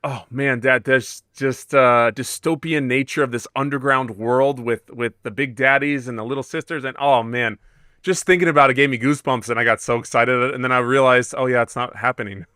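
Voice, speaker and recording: A man's voice: medium pitch, fairly even intonation, a little fast, bright, forceful tone, clear, resonant tone, dry recording, studio quality. A man co-hosting a gaming podcast, chatting in an informal, enthusiastic way. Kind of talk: casual podcast chat.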